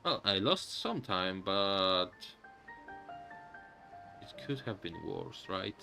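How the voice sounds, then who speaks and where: Slow-talking male game streamer. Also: low voice, very animated, creaky, music in the background. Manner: hesitant, rambling, thinking aloud. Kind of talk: casual live gaming commentary.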